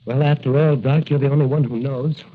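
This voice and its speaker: A man's voice: mid-range voice, fairly even intonation, a little fast, close to an everyday voice. An actor playing a young, confident rookie patrolman hero, earnest and brash, in 1940s radio style. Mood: earnest, restless. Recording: tinny, narrow old radio recording.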